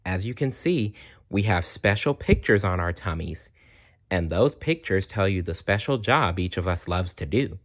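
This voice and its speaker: A man reading a children's storybook aloud as a storyteller, deliberate and often clearing his throat. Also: low voice, expressive.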